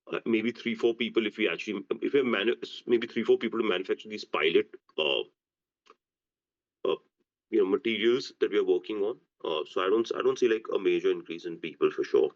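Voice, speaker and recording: Male executive, deep voice, halting unscripted answers full of restarts, muffled lo-fi conference line. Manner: halting, often restarting phrases. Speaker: company executive answering on an earnings call. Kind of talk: unscripted business Q&A. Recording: dull, lo-fi conference call audio.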